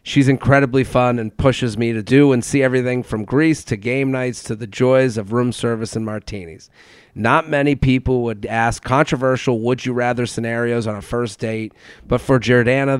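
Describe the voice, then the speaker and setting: Smooth-voiced man, dry studio sound. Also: medium pitch, fairly even intonation, normal speed, no room sound. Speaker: podcast co-host. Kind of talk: reading a script with casual asides.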